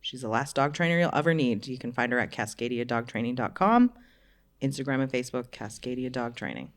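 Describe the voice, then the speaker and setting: A woman's voice: rumbling low, expressive, fairly quick. A woman co-hosting a casual podcast chat, blunt and swearing freely, who also reads the sponsor spots. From Canada. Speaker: podcast co-host.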